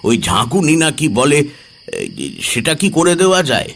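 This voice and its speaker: A man playing a troubled, courteous middle-aged gentleman in an audio horror story, speaking hesitantly. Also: fairly high pitch, wildly swooping pitch, unhurried, gravelly, long reverb tail, heavily acted. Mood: hesitant, polite. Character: troubled gentleman householder, father, polite and uneasy. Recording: music bed behind the voice.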